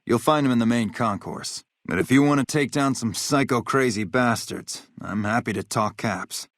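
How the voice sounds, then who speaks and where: An actor playing a hard-bitten, vengeful military officer in a video game, blunt, profane and matter-of-fact. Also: male voice, mid-range voice, normal speed, a bit bright, dry recording, studio quality, close to an everyday voice. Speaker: game character, army officer.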